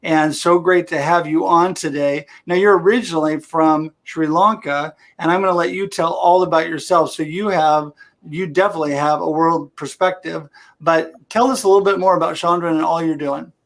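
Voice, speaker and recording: A man's voice: a little high, steady tone, normal speed, fairly bright tone. A man hosting a live-streamed interview over a video call, upbeat and enthusiastic. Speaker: host.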